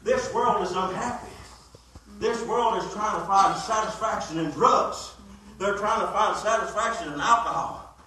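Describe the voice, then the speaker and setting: A man's voice: high voice, moderate pace, sharp tone. A man in his fifties preaching a sermon, peppering his lines with "uh" and folksy repeated refrains, recorded by a phone from the pews of a church. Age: 50s. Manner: heavy "uh" fillers, repeated refrains, plain folksy grammar. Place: church sanctuary, mid-sized indoor room. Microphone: phone camera from the pews, far from speaker. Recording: echoey church heard from far off.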